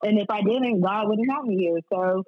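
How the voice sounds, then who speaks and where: A woman's voice: mid-range voice, normal speed, no room sound, narrow, tinny audio. A woman speaking candidly and slangily as a guest sports reporter in a casual podcast conversation.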